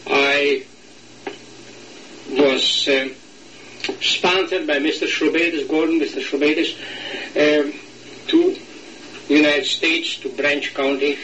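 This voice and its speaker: An older man from Latvia, speaking English with a Latvian accent, recalls his past in an oral history interview, pausing and searching for words. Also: fairly high pitch, fairly even intonation, pushed and bright, reverberant, far from the mic, a little hiss or hum, poor audio. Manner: halting, searching for words, slight non-native grammar.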